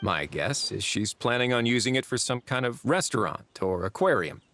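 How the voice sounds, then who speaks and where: A man playing a calm, dryly witty duke in a video game cutscene, speaking in a composed, measured way. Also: medium pitch, slight background noise. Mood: matter-of-fact.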